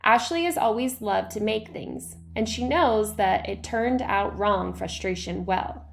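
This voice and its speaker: Woman reading a storybook, gravelly creak. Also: mid-range voice, measured pace, slight background noise. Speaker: read-aloud reader. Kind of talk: children's picture book read-aloud.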